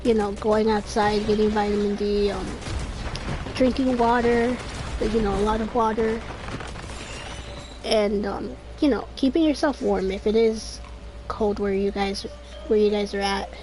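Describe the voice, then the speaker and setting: A woman's voice: medium pitch, steady tone, measured pace, long pauses. A woman in her twenties giving casual gameplay commentary, rambling and restarting her sentences, her throat dry and a little scratchy. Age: 20s. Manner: rambling, trails off and restarts often. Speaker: gaming streamer. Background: game music.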